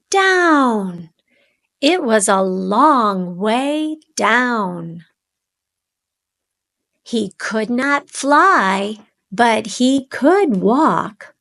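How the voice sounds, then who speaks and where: A woman hosting a children's read-aloud, narrating a storybook in simple, deliberate phrases addressed to young listeners. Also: fairly high pitch, a bit bright.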